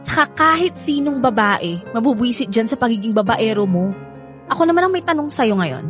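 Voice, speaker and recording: Huffy, high-voiced young Filipina played by an actress. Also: female voice, expressive, a little fast, soft background music, natural voice. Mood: irritated, sarcastic. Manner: sarcastic, huffy, combative. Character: irritable, guarded young woman, bitter about love. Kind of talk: Filipino radio drama acting.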